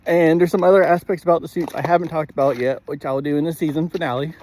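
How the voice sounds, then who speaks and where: A man giving a casual spoken episode review in a halting way, often clearing his throat. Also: on the high side, steady tone, a little mellow, poor audio.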